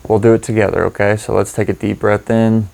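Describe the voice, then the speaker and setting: A man leading a guided meditation in an informal, candid and gently instructive way. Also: on the low side, flat delivery, brisk, creaky.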